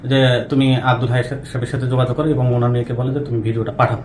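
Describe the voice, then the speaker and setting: A man's voice: mid-range voice, little pitch movement, fast, a little mellow. A man with a Bangladeshi accent giving a spoken briefing as a member of a show's team. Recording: echoey room, background noise.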